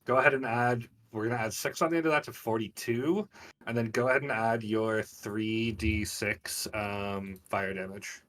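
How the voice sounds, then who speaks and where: A man's voice: on the low side, fairly even intonation, moderate pace, a bit bright, distant mic. A man acting as game master, narrating a live tabletop game and explaining rules in an informal, vividly descriptive way. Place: online group game stream.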